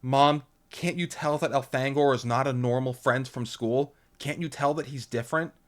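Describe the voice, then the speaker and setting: A man narrating an audiobook voices a frightened teenage girl, pleading and yelling. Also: mid-range voice, normal speed, voice pushed up, close to an everyday voice. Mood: worried.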